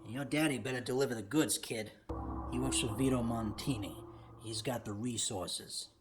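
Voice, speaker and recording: A man's voice: medium pitch, normal speed, lightly acted. A man playing a menacing, vengeful gang boss villain in an audio drama. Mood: gloating menace. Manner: menacing, gloating. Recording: music bed under the voice.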